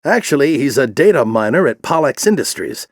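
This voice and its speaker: A man playing a grizzled older alien warrior general in a video game, with a gruff, commanding, theatrical delivery and a fanatical intensity. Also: medium pitch, very animated, a little fast, dry recording, clean studio sound, natural voice. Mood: brisk, commanding. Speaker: military commander and mentor. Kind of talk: video game voice acting, combat barks and dramatic speeches.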